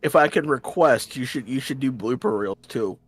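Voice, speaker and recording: A man's voice: medium pitch, normal speed, breathy edge, no room sound. A man with a slight Southern accent, chatting casually as a stream guest and often restarting his sentences. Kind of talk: casual chat.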